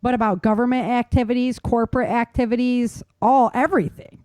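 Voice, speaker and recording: A woman's voice: on the high side, steady tone, a little fast, darkish tone, dry recording. Casual woman co-host, dry close sound. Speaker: show co-host. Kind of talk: casual chat.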